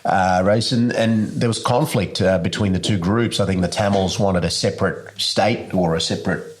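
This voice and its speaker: A man's voice: low voice, steady tone, normal speed. A man in his forties from Sri Lanka, a doctor, telling his story in an interview with many ums and restarts. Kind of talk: casual interview chat. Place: farm.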